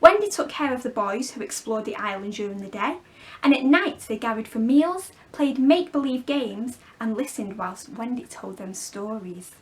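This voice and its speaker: Woman doing kids storytime narration, highish voice, really lively and expressive. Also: normal speed. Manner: animated, clearly phrased for young children. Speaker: children's storyteller.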